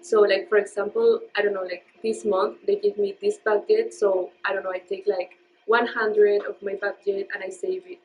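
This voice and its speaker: A woman's voice: medium pitch, little pitch movement, normal speed, darkish tone, low-quality recording. A young woman, a student, answering interview questions casually, rambling with many fillers.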